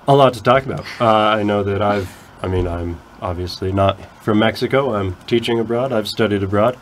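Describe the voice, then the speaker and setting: A man's voice: on the low side, a little hiss or hum. A man who hosts a campus radio talk show, explaining at length and often restarting his sentences. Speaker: faculty radio host.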